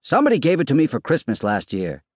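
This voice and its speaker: A man's voice: a little high, animated, a little slow, no room sound, clean studio sound, voice pushed up. A man voicing a good-natured husband of nearly fifty, exasperated and overdoing his crossness. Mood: flustered, pleading. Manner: loud, exasperated, shouting.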